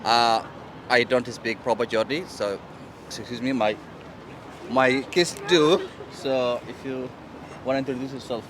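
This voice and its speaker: A man from Sudan, living abroad, speaking in a modest, soft-spoken way. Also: medium pitch, fairly even intonation, unhurried. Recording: street noise behind.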